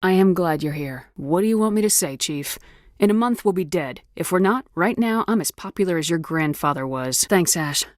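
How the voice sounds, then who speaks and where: Actress as tough female commander, lowish pitch, firm, dry wit, guarded, video game dialogue, very dry studio sound. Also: expressive, normal speed, no echo, natural voice. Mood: grim, determined. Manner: firm, dry, wry delivery.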